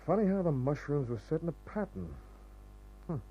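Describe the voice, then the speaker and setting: An actor playing a wry, cool-headed private detective in an old radio noir, narrating and talking in terse, clipped phrases. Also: male voice, a little high, animated, normal speed, husky, faint music behind. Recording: muffled old radio recording.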